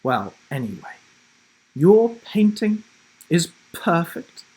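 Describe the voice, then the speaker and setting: A man's voice: a little high, very animated, takes it slowly, a little mellow, slightly rough, voice pushed up, exaggerated voice, rough recording. A man voicing a doting, delighted elderly grandmother from a children's storybook in a sweet, gushing manner.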